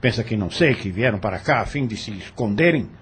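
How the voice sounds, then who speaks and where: A man playing a stern, defiant farm overseer in an audio novel, with a husky voice and a Brazilian accent. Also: fairly low pitch, lively intonation, fast, natural voice. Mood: defiant, scornful. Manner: curt, defiant, dismissive.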